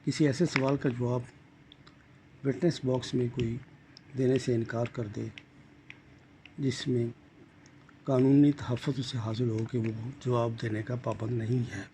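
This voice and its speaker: Older Pakistani male law lecturer, lower-pitched mid-range not required; slow, deliberate, explanatory delivery over faint room noise. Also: little pitch movement, mellow. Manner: deliberate, repeats and rephrases points. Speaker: retired judge teaching law.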